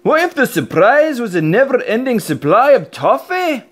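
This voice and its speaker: A shaggy Highland cow from a children's storybook, acted by a man. He is stubborn yet easily nervous and speaks with a Scottish accent. His pitch swings freely. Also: very animated, moderate pace, full character voice. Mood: tempted. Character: shaggy toffee-loving male Highland cow, stubborn but timid.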